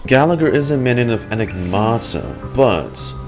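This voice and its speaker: A man giving casual, halting commentary as a streamer reacting to a game's story, thinking aloud. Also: mid-range voice, big pitch swings, over music.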